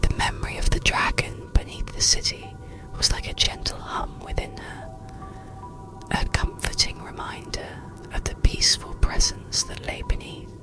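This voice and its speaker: A storyteller whispering a bedtime story in a hushed, soothing, unhurried ASMR narration. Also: music in the background, poor audio.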